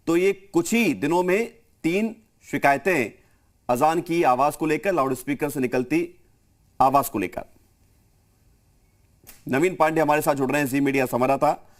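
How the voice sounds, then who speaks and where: Higher-pitched male news anchor. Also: moderate pace, long pauses. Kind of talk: live news anchoring with interview questions.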